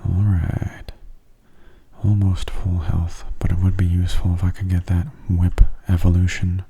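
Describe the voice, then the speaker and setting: Very soft, husky low-pitched man. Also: completely flat delivery. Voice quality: soft, breathy, often near a whisper. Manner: gentle, unhurried, explanatory. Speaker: video game commentator. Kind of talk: soft-spoken ASMR gameplay commentary.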